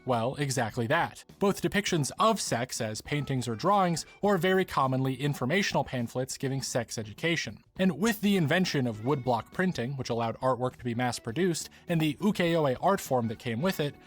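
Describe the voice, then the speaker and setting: A man narrates a scripted video essay in documentary style. His voice sits at a middle pitch, and faint music plays behind him. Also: expressive, moderate pace, few pauses. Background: background music.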